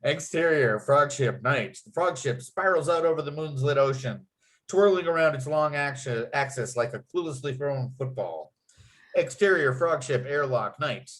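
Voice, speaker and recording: A man reading screenplay scene headings and action aloud as narrator, with expressive storytelling delivery. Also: a little high.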